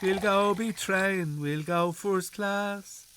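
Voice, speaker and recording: Older irish charmer, doting hubby, jokey, bit of sizzle noise behind. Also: male voice, high-pitched, fairly even intonation, slow pace, a bit bright. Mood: playful. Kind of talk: radio drama acting.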